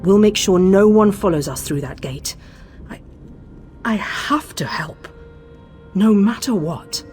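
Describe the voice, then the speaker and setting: A woman's voice: mid-range voice, animated, takes it slowly, darkish tone. An actress playing a worried but resolute woman fighter in a fantasy game, earnest and urgent. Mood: worried, determined. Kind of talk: dramatic fantasy game dialogue. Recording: studio voice under prominent music.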